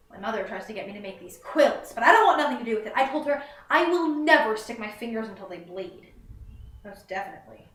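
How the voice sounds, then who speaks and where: A woman's voice: a little high, fairly bright tone. A young woman playing a proud, plainspoken country girl who rambles in a folksy way in a theatrical monologue. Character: proud, chatty country girl, doctor's daughter. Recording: roomy, echoing, far from the mic.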